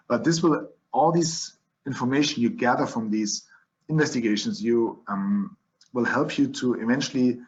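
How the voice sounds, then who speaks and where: A man with a German accent explains medical diagnosis over slides in an unhurried, teacherly way, often restarting his sentences and filling pauses. His voice sits at an ordinary middle pitch. The recording sounds slightly dull and lo-fi. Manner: explanatory, frequent restarts and fillers. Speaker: physician lecturer.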